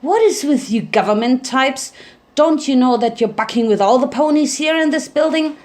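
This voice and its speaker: Woman acting an irate male pony baker, highish voice. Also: lively intonation, normal speed, a little mellow. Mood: angry, indignant. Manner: indignant, argumentative.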